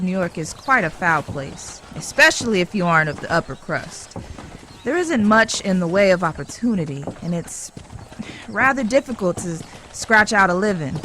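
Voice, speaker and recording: A woman acting in an audio drama as a genteel young city woman out West, speaking politely and formally with an American accent and a gently teasing manner. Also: mid-range voice, slight background noise, lightly acted. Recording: clear background ambience with horse hoof sounds.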